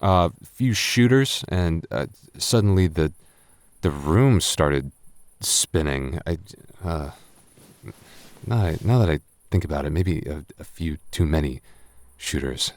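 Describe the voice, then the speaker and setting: A very low, unhurried young male voice acted by a man plays a tipsy roommate in an intimate roleplay audio. He stammers and rambles, teasing yet flustered and secretly smitten. The sound is close and dry. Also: expressive, dry recording. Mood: tipsy, rambling. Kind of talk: boyfriend roleplay audio acting.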